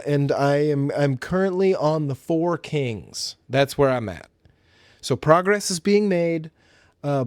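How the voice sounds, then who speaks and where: A man's voice: a little high, smooth, no echo, clean studio sound. A man hosting a solo podcast, chatting casually and informally about films and games in slangy, hesitant phrases. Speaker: podcast host.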